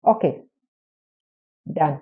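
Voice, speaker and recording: A woman's voice: drawn-out words, phone-quality sound. A woman vlogging from Oman, answering viewers' questions in an encouraging, repetitive way and talking straight to the camera. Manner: encouraging, repetitive, direct address. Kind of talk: casual advice vlog.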